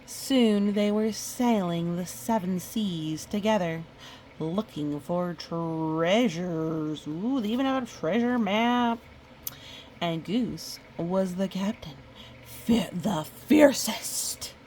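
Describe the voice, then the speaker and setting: Slow-paced woman reading a picture book. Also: on the low side, a little hiss or hum. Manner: chatty, expressive storytelling with playful sound effects. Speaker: storybook reader. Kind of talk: casual picture-book read-aloud with chatty asides.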